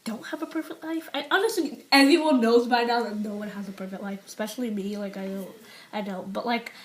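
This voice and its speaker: Teenage girl, from the UK, candid vlog confession, rambling with fillers, soft near-whisper at times. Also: a little high, fairly quick. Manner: rambling, many fillers and restarts; drops to a near whisper on painful topics. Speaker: vlogger. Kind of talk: unscripted personal confession to camera.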